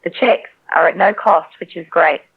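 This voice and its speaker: A narrow, tinny phone line carries a woman's AI telemarketer voice, lowish and crackly with vocal fry. She is polite and persistent, working through a scripted pitch. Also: moderate pace. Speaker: AI robocall telemarketer. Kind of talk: scripted sales call.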